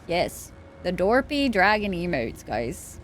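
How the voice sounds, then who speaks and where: A woman's voice: fairly low pitch, big pitch swings, slow pace, a little hiss or hum. A tired woman chatting casually with her viewers while she streams a game late at night. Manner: chatty, thanks viewers and reads chat aloud. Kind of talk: casual chat while gaming live.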